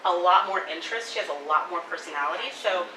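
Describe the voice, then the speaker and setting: A woman gives feedback in a casual, animated way, her voice bright and a little low. She sounds far from the mic, and the room echoes around her. A faint hum sits behind her. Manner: animated, fast, many "like" fillers, laughing. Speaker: audience member giving feedback. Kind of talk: casual spoken critique at a live table reading. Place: coffee shop event room.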